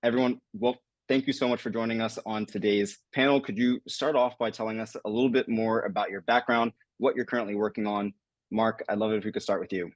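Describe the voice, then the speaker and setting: A man's voice: fairly low pitch, steady tone, a little fast, dry recording, muffled recording. A man moderating a panel discussion, introducing guests in an upbeat, scripted way.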